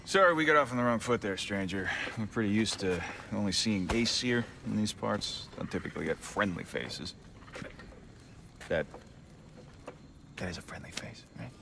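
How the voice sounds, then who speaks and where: Bright, easygoing rebel leader. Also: male voice, lowish voice, animated, normal speed, creaky, a little hiss or hum, exaggerated voice. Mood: affable. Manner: breezy, self-deprecating. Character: easygoing, friendly, wry male rebel god. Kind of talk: video game character acting.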